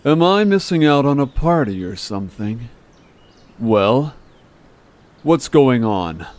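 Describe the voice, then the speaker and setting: A man's voice: medium pitch, big pitch swings, darkish tone. A man playing a sarcastic, self-righteous creature gang leader in an audio drama, speaking with condescending false humility. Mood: casual menace. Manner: measured, feigning hurt, condescending.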